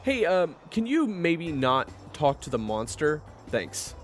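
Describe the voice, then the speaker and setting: A man playing a gruff, hot-headed and easily flustered monster fighter who mocks and blusters in an audio roleplay. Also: on the high side, very animated, slow pace, faint music behind. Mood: flustered, angry.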